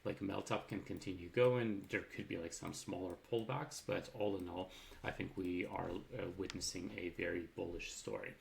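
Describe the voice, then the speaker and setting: A man giving a casual market rundown over charts, loose and chatty, his voice a little raspy from long talking. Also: low voice, steady tone, normal speed. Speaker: market commentator.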